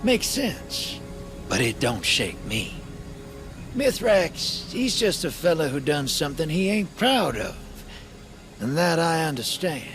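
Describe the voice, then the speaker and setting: A man's voice: fairly high pitch, big pitch swings, slow, a bit bright, soft background music, exaggerated voice. A man voicing a wry, roguish drifter in a video game, with a drawling, folksy swagger and teasing slang. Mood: wry, reflective. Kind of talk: video game character monologue.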